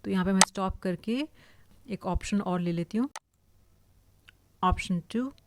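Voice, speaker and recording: A woman's voice: mid-range voice, a little slow, slight background noise. An Indian-accented woman who works as a voice-over artist, explaining in a casual tutorial style.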